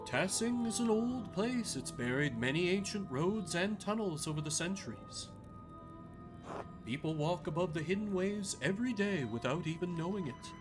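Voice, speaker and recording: A game player voicing a weary, regretful male priest with a formal, measured, soothing manner, over music. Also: medium pitch, expressive, lightly acted. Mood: calm, explanatory. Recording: music under the voice.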